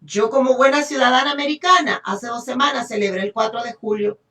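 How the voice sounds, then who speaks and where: A woman's voice: fairly high pitch, steady tone, a little fast, fairly bright tone. A woman with a Honduran Spanish accent preaching a Bible lesson, emphatic, repeating phrases and checking in with rhetorical questions. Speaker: preacher teaching a congregation. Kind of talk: sermon, Bible teaching. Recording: echoey room, distant microphone.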